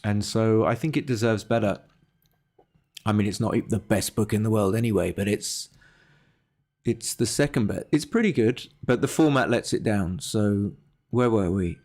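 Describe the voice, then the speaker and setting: Male game streamer, lowish voice, casual and hesitant, reasoning through plays aloud. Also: normal speed, darkish tone. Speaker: livestreamer analysing his backgammon games. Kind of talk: casual live game commentary.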